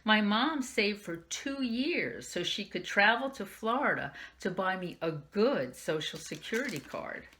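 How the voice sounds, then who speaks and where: A woman's voice: mid-range voice, expressive, unhurried, few pauses, fairly bright tone. A woman librarian reading a young adult novel aloud in the first person, lifting her voice for the quoted lines. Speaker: youth services librarian.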